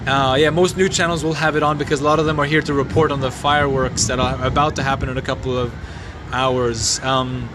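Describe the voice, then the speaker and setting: A man livestreaming from his phone outdoors at night, answering viewers' questions in a halting, sombre way with many restarts. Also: mid-range voice, fairly even intonation. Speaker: livestreamer reporting a fire. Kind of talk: live phone stream, answering viewer comments. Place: outdoors in a city compound at night. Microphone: phone mic. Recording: noisy outdoor phone stream.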